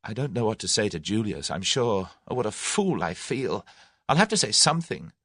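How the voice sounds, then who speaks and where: A man's voice: lowish voice, big pitch swings, normal speed, a bit bright, breathy edge, no echo, studio quality, full character voice. A man voicing a plucky, proud young English woman in an audiobook, earnest and exclamatory. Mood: rueful. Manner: earnest, exclamatory, self-scolding. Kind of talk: audiobook character voice.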